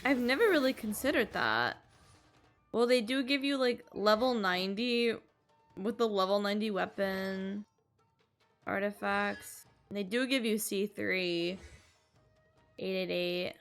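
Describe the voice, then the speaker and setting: Chatty woman streamer with a creaky edge. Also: mid-range voice, measured pace, pauses often, fairly bright tone. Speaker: game streamer. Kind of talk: casual gameplay commentary. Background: faint game music.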